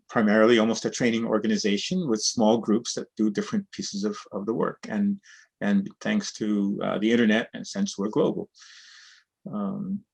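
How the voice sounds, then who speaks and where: A man's voice: mid-range voice, vocal fry. A man in his sixties, originally from Cuba, speaking as an interview guest in a reflective, earnest way. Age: 60s. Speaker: guest, cooperative organizer and trainer. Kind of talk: conversational interview.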